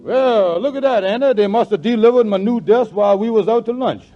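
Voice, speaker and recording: A man's voice: high voice, fairly quick, faint music behind, close to an everyday voice. A man playing a wily, folksy, skeptical lodge leader in a 1940s radio comedy, talking in ungrammatical banter. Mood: proud. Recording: muffled 1940s radio recording.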